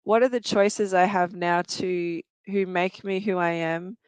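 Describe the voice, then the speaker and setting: A woman's voice: fairly low pitch, fairly even intonation, a little slow, darkish tone, clean tone, dry recording. A woman in her forties with an Australian accent, telling her personal story in an earnest, reflective way with occasional fillers. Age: 40s. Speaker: guest, youth worker and researcher. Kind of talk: personal storytelling in a talk show.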